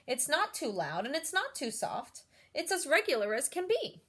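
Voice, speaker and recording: Woman playing a patient, bright-voiced schoolteacher. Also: sits high, sing-song, voice pushed up. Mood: explaining. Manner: instructive, measured, encouraging. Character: kind, patient female schoolteacher coaching a pupil.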